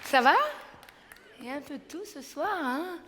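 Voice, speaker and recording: Belgian-born woman doing standup, high voice, punchy, lots of hall echo. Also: moderate pace, a faint crowd, slight background noise. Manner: energetic, punchy, acts out family voices. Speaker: stand-up comedian. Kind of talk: stand-up comedy. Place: large theater stage.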